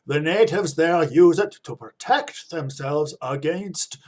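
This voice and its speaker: An actor in a radio play voicing a grave, learned professor, measured and ominous. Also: male voice, fairly high pitch, a little mellow. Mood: grave warning.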